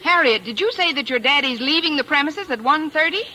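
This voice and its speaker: Wry, wisecracking schoolteacher, lively pitch. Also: female voice, a little fast. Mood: dry. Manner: dry, wisecracking. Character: wry, sardonic, quick-witted female high school teacher. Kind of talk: 1940s-50s radio comedy acting. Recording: noisy old radio show recording.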